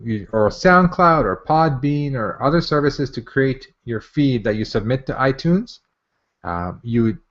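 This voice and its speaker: Male demo host, mid-pitched, informal and upbeat, chatty with viewers; muffled lo-fi webinar audio. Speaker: software presenter hosting a live online demo. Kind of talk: casual live product demo. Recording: dull, muffled lo-fi online audio.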